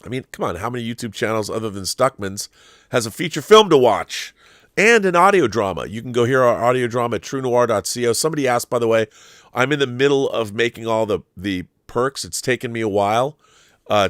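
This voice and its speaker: A man's voice: mid-range voice, animated, brisk, fairly bright tone, dry recording, clean studio sound. A middle-aged American man talking casually on a livestream, rambling conversationally and often restarting his sentences as he goes. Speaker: host of a live YouTube show. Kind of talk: casual livestream monologue answering viewer comments.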